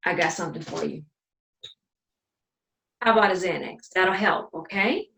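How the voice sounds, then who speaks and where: An actress playing an exasperated, practical adult sister in a stage drama, sassy and emphatic, recorded in a room away from the mic. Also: female voice, on the low side, normal speed, gravelly, pushed and bright, a little hiss or hum. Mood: placating. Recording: roomy, distant from the mic.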